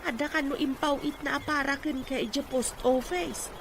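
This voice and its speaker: High, chatty Ilocana village woman. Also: fairly even intonation, moderate pace. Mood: chatty, helpful. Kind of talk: Filipino radio drama acting. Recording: clearly noisy ambience behind.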